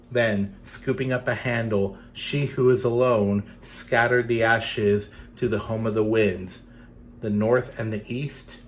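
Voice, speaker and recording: A man storyteller reading expressively for children, with dramatic, deliberate phrasing that addresses young listeners. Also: medium pitch, a little hiss or hum. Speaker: storyteller for children's theatre series.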